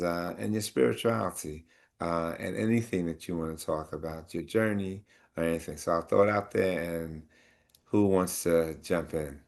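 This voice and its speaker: A man with a deep, low voice tells his own story at a community panel and then hands off to his guests. He talks haltingly, with many pauses, fillers and restarts. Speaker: panel moderator. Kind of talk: personal testimony then moderating a panel.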